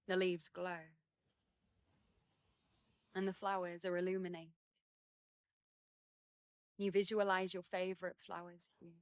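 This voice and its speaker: A woman guides a meditation in a slightly low voice that barely moves in pitch. Her phrases are gentle and unhurried, with long pauses between them. The sound is dry and close, with hardly any echo, though she is speaking outdoors in a garden. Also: little pitch movement. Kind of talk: guided meditation, slow and soothing instruction.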